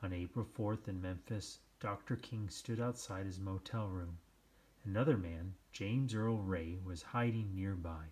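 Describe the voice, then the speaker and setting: A man reading a children's book aloud, with measured, deliberate phrasing and dramatic pauses. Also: deep voice, darkish tone. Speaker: storybook reader. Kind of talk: scripted children's read-aloud narration.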